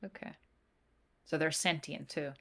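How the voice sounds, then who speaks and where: A woman playing a tabletop roleplaying game online, talking in and out of character, often whispering and then bursting out loudly. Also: low voice, fairly even intonation, measured pace, vocal fry. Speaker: player.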